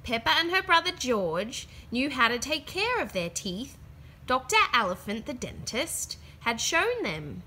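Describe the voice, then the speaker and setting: A woman reads a children's story aloud with expressive drama. Her voice is high and bright, and she swoops through the lines. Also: measured pace, breathy edge. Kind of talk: children's book read-aloud.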